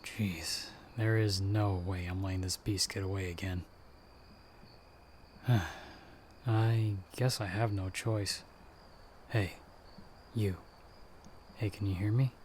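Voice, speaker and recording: Guy doing a stern hushed monster hunter for asmr roleplay, lowish voice, sarcastic, crickets and some noise behind. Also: steady tone, takes it slowly, long pauses. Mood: grim, frustrated, then impatient. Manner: hushed, stern, sardonic, urgent instructions. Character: gruff, sardonic male monster hunter, a disembodied guiding voice. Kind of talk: ASMR audio roleplay, addressing the listener.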